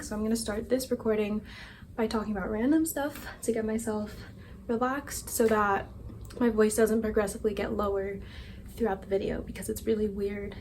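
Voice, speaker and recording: A woman's voice: on the high side, a little mellow, slight background noise. Chatty young woman rambling about books. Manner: chatty, rambling, self-deprecating. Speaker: book vlogger. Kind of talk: casual chat.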